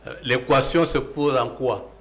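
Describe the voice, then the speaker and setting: Slow, emphatic Congolese man in debate. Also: mid-range voice, steady tone. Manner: emphatic, argumentative, rhetorical questioning. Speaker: debate panelist. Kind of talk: political debate.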